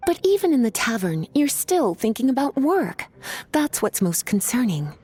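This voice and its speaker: A flirtatious video game woman, speaks in a fairly high voice with lively swings in pitch. She is playful and coaxing, drawing her words out at leisure. Music plays behind her. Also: slightly rough. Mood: chiding, fond. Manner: playful, coaxing, drawn-out delivery. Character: languid, teasing, flirtatious adult woman.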